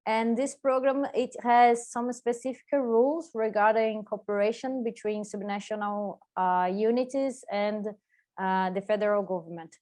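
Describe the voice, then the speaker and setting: A woman's voice: medium pitch, steady tone, measured pace, a little mellow. A woman from Brazil with a strong Brazilian Portuguese accent, giving an academic presentation on a video call, with frequent "uh"s and restarts. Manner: hesitant, many filler "uh"s and restarts. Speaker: postdoc researcher presenting.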